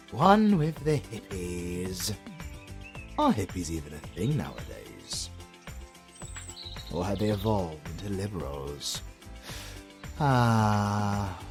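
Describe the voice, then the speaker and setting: A man acts a retired British supervillain in an audio comedy. His voice is on the low side and unhurried, with big theatrical swings of pitch. He is sardonic, wordy and pompous, like a put-upon gentleman. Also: full character voice. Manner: dry, sardonic, pompous, articulate. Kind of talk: acted comedy audio roleplay.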